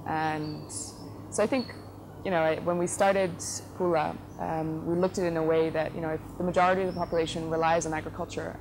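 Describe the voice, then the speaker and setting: A middle-aged woman from the Netherlands, a company head giving thoughtful interview answers, often pausing with fillers and correcting herself. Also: low voice. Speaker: company CEO, interview guest. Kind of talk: relaxed conversational interview answers. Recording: noticeable background noise.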